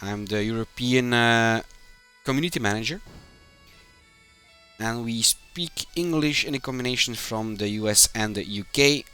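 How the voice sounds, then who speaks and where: A man from Belgium, a native Dutch speaker with a Belgian Dutch accent, commentates a live game stream in halting, short phrases. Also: medium pitch, sharp tone, clean tone, soft background music. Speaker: esports match commentator, community manager.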